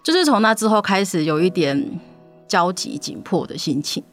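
A woman with a deep-set voice speaks in a Taiwanese Mandarin accent over soft background music. She explains her fiction casually, in the way of a guest author on a podcast. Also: very animated, moderate pace.

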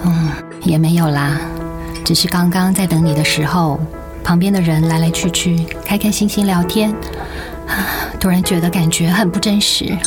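A woman's voice: lowish voice, steady tone, moderate pace, music in the background, lightly acted. An actress playing a weary, guarded writer and mother with a Taiwanese accent in a radio drama. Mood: subdued. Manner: tired, guarded, reproachful. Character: weary, resentful overworked writer wife and mother. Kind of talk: Mandarin radio drama acting.

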